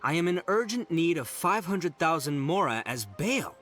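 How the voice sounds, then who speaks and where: A man's voice: on the high side, big pitch swings, moderate pace, fairly bright tone, faint music behind. A man voicing a brash, dim, big-hearted young gang boss who blusters and bumbles theatrically in a video game. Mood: indignant.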